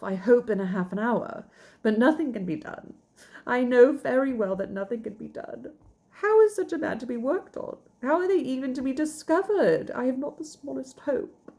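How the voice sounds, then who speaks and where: A woman's voice: on the high side, mellow, voice pushed up. A woman reading the part of a distraught young woman whose sister has eloped, in an agitated, pleading way.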